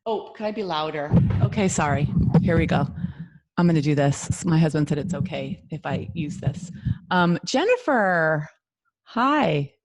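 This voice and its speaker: A woman's voice: low-pitched, expressive, unhurried, poor audio. Chatty middle-aged woman leading an online workshop. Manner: chatty, earnest, digressive. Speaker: yoga therapist and health coach hosting a workshop. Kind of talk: informal online workshop talk. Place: video call.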